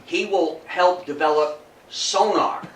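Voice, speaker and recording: An older man with an American accent giving an informal, deliberate history lecture and engaging his audience. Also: sits high. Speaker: guest lecturer. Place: meeting room talk. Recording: echoey room, distant mic, faint noise.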